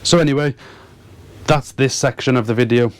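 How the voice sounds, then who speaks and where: A man's voice: mid-range voice, steady tone, moderate pace, slight background noise. A man talking casually to viewers as a boating vlogger, earnest and emphatic, often repeating words to stress a point.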